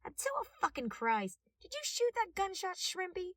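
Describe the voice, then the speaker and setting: A woman's voice: very high voice, big pitch swings, moderate pace, a bit bright, dry recording, clean studio sound, pitched above the performer's own voice, full character voice. A woman voicing a brash, foul-mouthed tall policewoman who is exasperated and scolding, in a story. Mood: angry.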